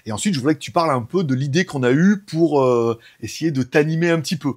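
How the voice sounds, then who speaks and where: Husky-voiced Frenchman chatting casually. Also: on the high side, fast. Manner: informal, rambling, conversational. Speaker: host of a tech news show. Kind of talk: casual tech chat.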